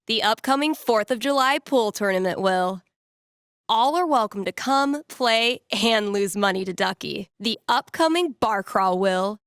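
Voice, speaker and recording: Young female radio DJ, video game character, mid-pitched, wry, playful, conversational, irreverent, close dry sound. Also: fairly bright tone, dry recording. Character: wry, playful young woman hosting small-town radio. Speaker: small-town radio DJ. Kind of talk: casual, jokey radio ad reads and call-in chat.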